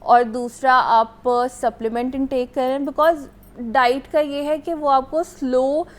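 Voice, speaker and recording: A woman doctor with a Pakistani Urdu accent speaks on a studio health show. She explains step by step and mixes English words into her speech with plenty of fillers, and her voice sits slightly high. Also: steady tone, takes it slowly.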